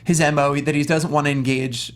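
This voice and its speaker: Man hosting a lively casual panel. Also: a little high, brisk. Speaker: talk show host.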